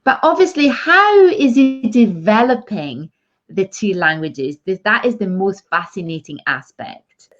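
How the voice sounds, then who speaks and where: A woman speech therapist, interviewing a mother and explaining slowly with many fillers. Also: medium pitch, big pitch swings. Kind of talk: interview chat. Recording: dull lo-fi sound.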